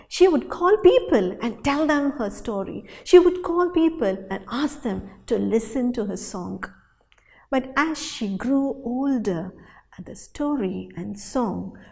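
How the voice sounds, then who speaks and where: A woman storyteller telling a folktale in a dramatic, animated way, with deliberate pauses and repetition. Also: on the high side. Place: hall at a storytelling festival. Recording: reverberant hall sound.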